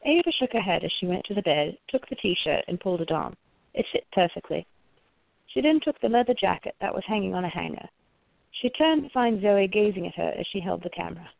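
A woman with a creaky voice reading scripted story narration for a radio play. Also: medium pitch, animated, fairly quick, dry recording. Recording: narrow phone-like, dry sound.

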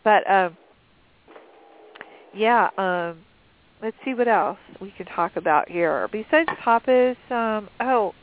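A woman's voice: mid-range voice, slow pace, long pauses, a little hiss or hum. A sniffly woman co-hosting a cooking show, chatting casually and rambling with lots of fillers. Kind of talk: casual chat. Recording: dry tinny phone line.

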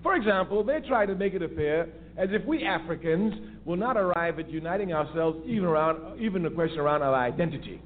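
A man's voice: on the high side, lively intonation, brisk, hardly any pauses. A man giving an impassioned political talk on a call-in radio show, emphatic and repeating his key phrases for force. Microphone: phone line. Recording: tinny phone line with faint hiss.

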